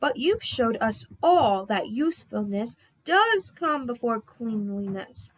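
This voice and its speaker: A woman voicing a stern, authoritative gentleman railway boss with a formal, measured manner in a children's storybook. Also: a little high, very animated, exaggerated voice, poor audio. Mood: stern, approving. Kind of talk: children's storybook read-aloud.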